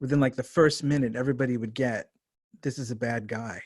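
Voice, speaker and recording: A man's voice: medium pitch, fairly even intonation, a little fast, darkish tone, husky, dry recording, studio quality. A man interviewing someone, discussing a drama in a conversational way as its writer and director.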